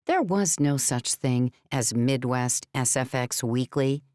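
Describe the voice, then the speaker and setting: A woman's voice: rumbling low, lively intonation, slow pace, a little mellow, dry recording, clean studio sound. A woman narrating scripted fiction as a storyteller in a full-cast audiobook. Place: dry recording studio.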